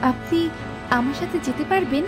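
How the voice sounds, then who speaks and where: A woman's voice: high-pitched, normal speed, over music, natural voice. A woman voicing a kind, dutiful young village girl in a cartoon story, sweet and attentive. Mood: kind, helpful.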